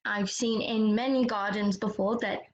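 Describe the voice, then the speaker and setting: A woman's voice: medium pitch, fairly even intonation, moderate pace, a little hiss or hum, natural voice. A woman playing a curious, polite but easily exasperated little girl in a children's read-aloud play. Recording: roomy, slightly dull sound.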